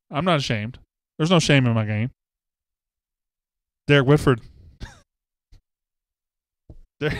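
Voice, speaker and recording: Casual guy hosting a live show, clear smooth voice, dry studio sound, reads comments and jokes. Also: on the high side, a bit bright, no room sound. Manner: chatty, reads viewer comments aloud, self-mocking jokes. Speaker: host. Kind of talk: casual live-stream chat.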